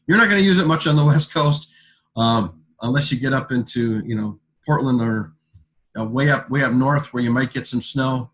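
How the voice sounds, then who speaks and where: Man giving a halting product pitch. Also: fairly bright tone. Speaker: product sales presenter. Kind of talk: informal product presentation. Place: conference call.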